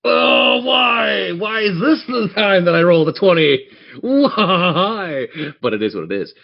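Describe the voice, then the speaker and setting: A man's voice: sits high, sing-song, slow pace, gravelly, lo-fi sound. A man narrating a tabletop fantasy game as game master, theatrical yet conversational.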